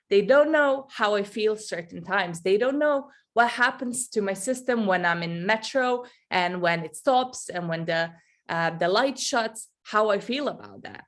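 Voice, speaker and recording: Nineteen-year-old woman. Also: medium pitch. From Serbia. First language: Serbian. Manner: conversational, self-correcting. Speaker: youth advocate and student speaker. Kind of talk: informal personal talk at an online forum.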